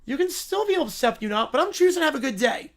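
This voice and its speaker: Man putting on a high, cheerful little bear. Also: expressive, fast. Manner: upbeat, pleasant. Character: cheerful little brown bear, relentlessly positive and pleasant.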